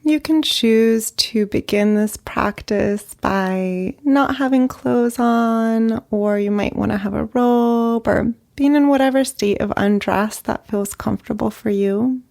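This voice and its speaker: Woman doing guided meditation, super slow and gentle, lots of pauses, creaky fry in her voice. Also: on the high side. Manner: slow, gentle, pausing between short phrases. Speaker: therapist leading a guided meditation.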